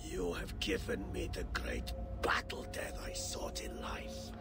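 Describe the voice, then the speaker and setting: A man playing a dying old Norse chief in a video game, proud and solemn, gravelly and breathy, halting as he speaks. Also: deep voice, lively intonation, exaggerated voice. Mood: weary, accepting. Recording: loud music over the voice.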